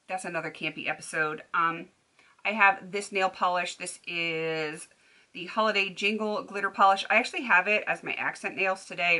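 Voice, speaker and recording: A woman's voice: medium pitch, bright, forceful tone. A woman in her thirties chatting to camera at home about makeup, rambling with lots of ums and restarts. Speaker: beauty vlogger. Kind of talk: casual chat to camera. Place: bedroom at home. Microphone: camera at desk distance.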